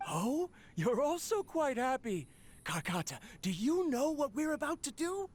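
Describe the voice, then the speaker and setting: A man's voice: extremely high pitch, big pitch swings, a little slow, slight background noise, exaggerated voice. A man voicing a proud, ambitious researcher in a video game, grandiose as he addresses his machine. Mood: proud, hopeful. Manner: grandiose, declaiming.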